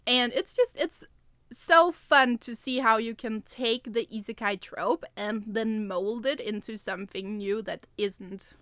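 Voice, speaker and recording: A woman's voice: fairly high pitch, unhurried, a bit bright, no echo. A woman co-hosting a podcast in casual, informal chat. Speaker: podcast co-host and co-writer.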